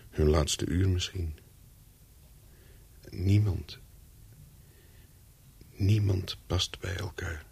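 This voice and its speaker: An actor playing a lonely, brooding man who tells his own story in a radio play, deliberately and slowly. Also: very deep voice, vocal fry, darkish tone, husky, exaggerated voice. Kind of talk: radio play monologue and dialogue.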